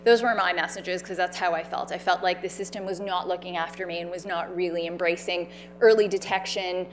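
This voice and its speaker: A woman tells her own story at an event, in an animated, conversational way. She has a loud voice and acts out the people in her story. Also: on the low side, brisk, slight background noise. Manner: animated, conversational, with fillers and quoted dialogue. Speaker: speaker sharing her story. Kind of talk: personal storytelling talk. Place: event stage.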